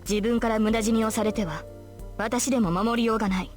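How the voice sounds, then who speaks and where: A dignified young knight woman from a fantasy game, voiced by an actress, speaking quickly in a composed, formal way. Her voice sits a little high and stays stern and matter-of-fact. Music plays faintly underneath. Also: fairly even intonation, a little mellow, close to an everyday voice. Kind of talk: Japanese anime-style game voice acting.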